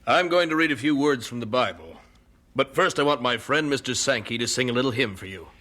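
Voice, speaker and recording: An actor playing a brisk, jovial, persuasive evangelist in an audio drama, direct and commanding. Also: male voice, mid-range voice, expressive, bright, forceful tone. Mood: brisk, insistent.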